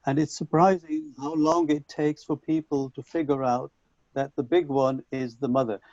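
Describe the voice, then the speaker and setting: A man whose first language is Punjabi, giving an online lecture to teachers in a measured, reflective way with frequent restarts, heard over a video call. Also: on the high side, dark tone, no echo. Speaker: conference speaker. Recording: dull lo-fi video call audio.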